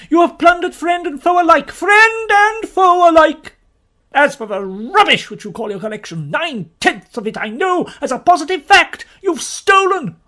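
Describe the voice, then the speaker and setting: A man's voice: very high voice, a bit bright, voice pushed up, exaggerated voice. A man voicing an irritable, easily outraged man in an audiobook story, with emphatic, spluttering speech that repeats itself. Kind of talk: dramatised audiobook character reading.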